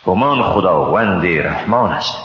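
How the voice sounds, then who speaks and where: A man's voice: deep voice, animated. A man with an Afghan Dari accent, solemnly reading a translation of scripture. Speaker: translation reader. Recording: echoey, long reverb, dull and noisy.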